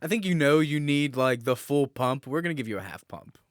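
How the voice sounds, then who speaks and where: A man chats as a podcast co-host in a loose, joking style. His pitch moves around a lot as he banters, and he can be crude. The sound is dry, with very little room around the voice. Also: lively intonation, a little fast, no echo. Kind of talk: casual banter.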